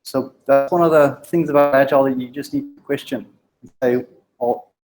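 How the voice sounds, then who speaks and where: A man speaks to a meetup crowd in a mellow, warm tone. His delivery halts and restarts, with a stutter and plenty of ums. He urges his listeners plainly and directly. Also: medium pitch, steady tone, low-quality recording. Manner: halting, with frequent ums and restarts; direct, exhorting. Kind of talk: informal presentation to a live group.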